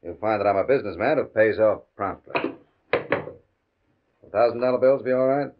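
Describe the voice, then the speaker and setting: Casting: male actor as oily racketeer boss, low-pitched and animated, mock-polite menace, old-time radio crime drama, muffled recording. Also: moderate pace, background noise, close to an everyday voice. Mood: smooth, sly. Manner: mock-polite, controlling, taunting. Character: smooth, menacing gambling boss blackmailing a professor. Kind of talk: 1950 radio drama acting.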